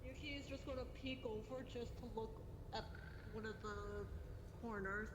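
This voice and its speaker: A woman's voice: on the high side, steady tone, normal speed. A woman playing an online roleplay game, talking casually in character and often repeating short phrases. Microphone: home microphone in online voice chat. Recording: roomy echo, noisy background.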